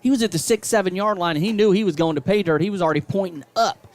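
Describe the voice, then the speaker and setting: A man calling high school football play-by-play on radio, building to excited calls on big plays. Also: fast talker. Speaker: play-by-play announcer.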